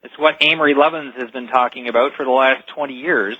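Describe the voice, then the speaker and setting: A man's voice: mid-range voice, fairly even intonation, fast, breathy edge, no room sound. A man speaking as an expert guest in a radio interview, explaining things emphatically and step by step. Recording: dull lo-fi radio sound.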